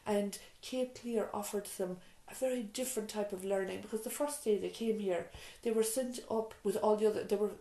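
Woman, middle-aged, mellow voice, reflective storytelling, restarts, echoey small room. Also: medium pitch, fairly even intonation, brisk, few pauses. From Ireland. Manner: warm storytelling, frequent restarts and "you know". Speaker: island nurse and mother of four, interviewee. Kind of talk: reflective interview answers. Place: island nurse's surgery, small room.

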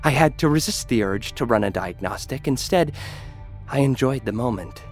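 A man narrating a first-person science-fiction audio drama in a dry, deadpan manner. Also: mid-range voice, normal speed, faint music behind.

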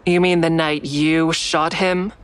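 A woman's voice: deep voice, little pitch movement, slow, clear, resonant tone. An actress playing a guarded, sardonic woman in an audio drama, dry and wry in dialogue and first-person narration. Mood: defensive. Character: guarded, sardonic woman, crisis counselor with secret kills.

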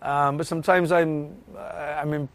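A man's voice: on the high side, steady tone, normal speed. A man with a Welsh accent and a big tenor voice, chatting animatedly as a guest in a podcast interview, laughing often and restarting his sentences. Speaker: actor guest.

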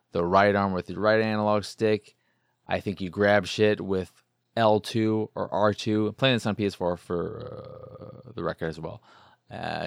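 An American man with a fairly low voice talks as a podcast host reviewing video games. The sound is dry, with very little room in it. His delivery is loose and rambling, self-amused and freely sweary. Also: dry recording. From Chicago, United States. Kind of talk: casual solo game review talk.

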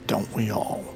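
An actor playing a boisterous, showy survivalist turned internet breathing guru in an audio drama. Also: male voice, on the low side, creaky, darkish tone, full character voice. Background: music.

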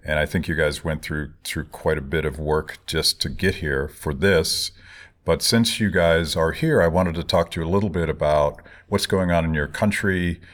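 Very deep-voiced male host. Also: moderate pace. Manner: relaxed, joking, conversational. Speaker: interview host. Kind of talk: casual interview.

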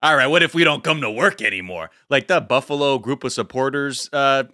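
A man hosting a podcast in casual, comedic news chat, animated and slangy, slipping into mocking acted-out quotes. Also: mid-range voice, brisk, no echo, studio quality. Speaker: podcast host.

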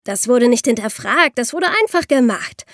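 A woman co-hosting an explanatory history podcast, speaking clearly and emphatically. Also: fairly high pitch, expressive, moderate pace, a bit bright, no room sound, studio quality. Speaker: podcast co-host.

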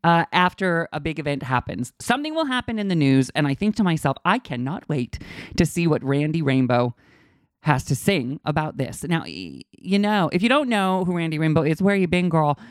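A man hosting a podcast, talking in a casual, gushing way and sounding hoarse from a cold. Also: a little high, normal speed, dry recording, studio quality. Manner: gushing, animated, many restarts. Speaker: podcast host. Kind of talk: casual chat.

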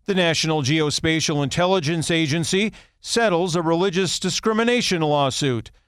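Male American news anchor, higher-set voice, very dry close sound, scripted bulletin read. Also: moderate pace, a bit bright, smooth, no echo.

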